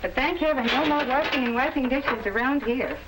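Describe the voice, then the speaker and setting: A woman's voice: high-pitched, a little fast, natural voice. An actress playing a cheerful, obliging middle-aged American housewife and mother in a 1950s sitcom-style film. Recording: very noisy, distant vintage film soundtrack.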